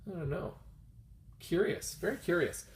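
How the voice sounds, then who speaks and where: A man's voice: high-pitched, moderate pace, reverberant, slight background noise. A man hosting a casual, rambling comics vlog, chatting and thinking aloud as he addresses his viewers directly. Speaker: host of a comics video channel.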